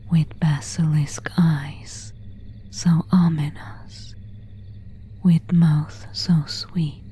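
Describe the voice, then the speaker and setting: A woman voicing an elegant, melancholy vampire in an ASMR roleplay, in a breathy whisper with formal, old-fashioned phrasing. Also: low voice, dark tone. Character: pale, elegant, melancholy female vampire of an ancient castle.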